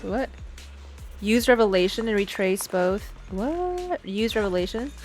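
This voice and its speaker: Unhurried woman streamer, offhand game commentary. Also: mid-range voice, lively intonation, a little mellow, soft background music. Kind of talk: casual gameplay reaction commentary.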